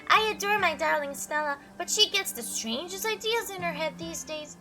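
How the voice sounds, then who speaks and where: A woman's voice: very high voice, animated, moderate pace, bright, forceful tone, faint music behind, voice pushed up, heavily acted. A woman voicing a prim, doting female pet in a visual novel, fussy and affectionately scolding. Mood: fond, fretting.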